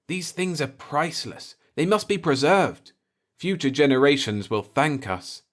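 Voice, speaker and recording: A man's voice: fairly high pitch, very animated, unhurried, a bit bright, pitched above the performer's own voice. A man voicing an eager, brash human explorer, emphatic and argumentative, in a science fiction story. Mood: eager, urgent.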